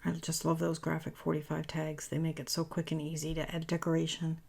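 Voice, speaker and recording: A woman with a raspy, worn-out voice, chatting her way through a papercraft tutorial voice-over in a rambling, self-correcting way. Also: low voice, completely flat delivery, fairly quick, darkish tone. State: voice worn from talking all day.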